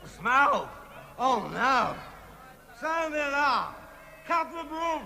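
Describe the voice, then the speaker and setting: An actor playing a complacent, doddering town master in an audio drama, easily flustered. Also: male voice, extremely high pitch, sing-song, extremely slow, vocal fry, pushed and bright, heavily acted.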